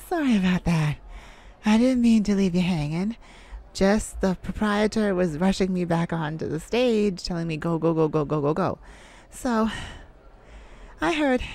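A woman's voice: fairly low pitch, warm tone, pitched below the performer's own voice. A woman voicing a bashful, flirty male lounge singer in a coy, intimate ASMR roleplay. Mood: playful, flustered. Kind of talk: ASMR roleplay, intimate addressed-to-listener acting.

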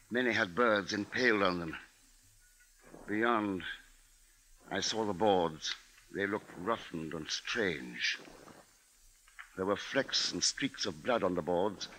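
Grave, low-voiced husband in radio drama. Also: male voice, sharp tone. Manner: measured, dramatic, literary narration. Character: grave, resolute husband and father narrating a bird siege. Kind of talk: 1950s radio drama acting and narration. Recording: noisy 1950s radio broadcast recording.

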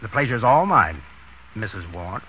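An actor playing a wry, teasing, bossy wisecracker in a 1930s radio drama. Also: male voice, on the low side, big pitch swings, normal speed. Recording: muffled, noisy 1930s radio broadcast.

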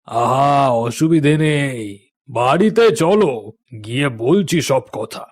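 Slow, solemn village priest played by a man. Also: medium pitch, expressive. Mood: calm, reassuring. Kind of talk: dramatic cartoon voice acting. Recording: faint music behind the voice.

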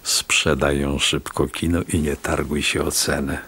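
An actor playing a haughty, menacing town doctor in a radio play, speaking in a condescending, ominous way. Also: male voice, rumbling low, little pitch movement, unhurried, sharp tone, husky, exaggerated voice. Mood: ominous, sly.

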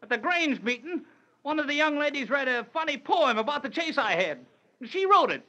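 An exasperated small town constable, super high pitched and swoopy, old radio comedy style. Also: male voice, moderate pace, exaggerated voice. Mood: aggrieved complaining. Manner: excitable, folksy, plaintive. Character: harassed, exasperated male rural constable chasing a heifer. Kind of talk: 1940s radio comedy sketch acting. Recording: dull old radio recording, noisy.

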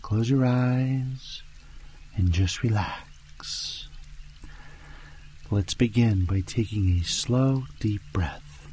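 A man narrating a guided meditation in a calm, soothing, hypnotic and deliberate manner. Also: fairly low pitch, a little hiss or hum. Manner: calm, hypnotic, deliberate, often dropping into breathy whispers. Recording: music bed behind voice.

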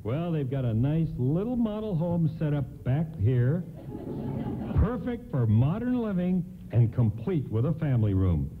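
A man's voice: on the high side, sing-song, a little slow. An actor in a radio play playing a kindly, courteous and reassuring department store doorman. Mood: eager, helpful. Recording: lo-fi, tinny, noisy radio broadcast.

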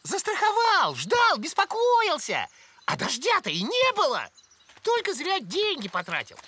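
A woman voicing an excitable, naive young male cartoon rabbit with a boyish, exclaiming manner. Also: very high-pitched, unhurried, full character voice. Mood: fretful, excited. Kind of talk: animated cartoon voice acting.

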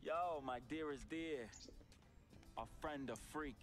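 A man's voice: on the high side, slow pace, soft background music. A man playing a cocky, joking young street hustler in a video game cutscene, slangy and boastful. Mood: teasing.

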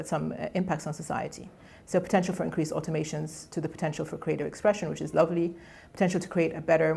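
A middle-aged woman whose first language is Egyptian Arabic speaks from a lecture podium. Her voice sits low with a gravelly, creaky edge. She talks in a loose, conversational way, often breaking off and restarting her phrases. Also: a little mellow. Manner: conversational, with frequent restarts and fillers. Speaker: guest lecturer. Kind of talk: academic colloquium talk. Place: lecture hall.

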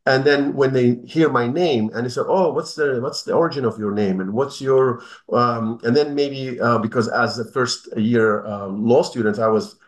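A middle-aged man from northern Israel whose first language is Arabic, a human rights lawyer answering interview questions over a video call in a measured way, with many fillers and restarts. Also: medium pitch. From Haifa, northern Israel. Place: indoor room at home. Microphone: video call webcam.

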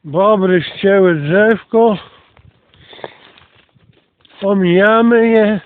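Man, high voice, casual vlogger, outdoor walking commentary, phone-like tinny sound, slight noise. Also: extremely slow. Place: outdoors on a nature walk.

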